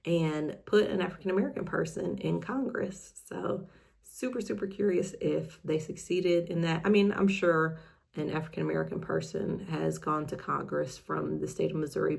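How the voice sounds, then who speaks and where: Chatty, creaky-voiced woman reading aloud. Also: deep voice, normal speed, a little mellow. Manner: informal, chatty, self-deprecating asides. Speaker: host reading old newspaper articles. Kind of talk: casual chat mixed with reading aloud.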